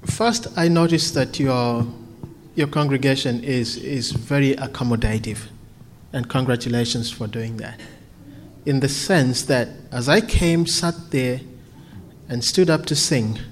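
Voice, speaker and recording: A man's voice: mid-range voice, a little hiss or hum. A man from Kenya, preaching earnestly and then answering questions, often repeating words as he restarts. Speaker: visiting preacher.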